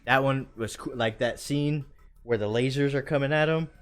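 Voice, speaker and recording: A man's voice: mid-range voice, normal speed, clean tone. A man hosting a live stream in casual chat, enthusiastic and slangy, with music behind him.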